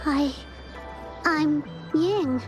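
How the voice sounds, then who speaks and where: A woman's voice: high voice, very slow, music in the background. A woman voicing a shy, earnest young girl in an anime video game, speaking hesitantly. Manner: hesitant, soft-hearted, earnest.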